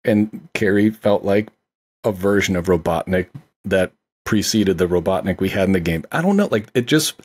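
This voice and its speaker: Podcast guest, man, husky low voice, eager and rambling. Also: lively intonation, moderate pace, darkish tone. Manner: enthusiastic, rambling, many fillers like "like". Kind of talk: casual movie discussion.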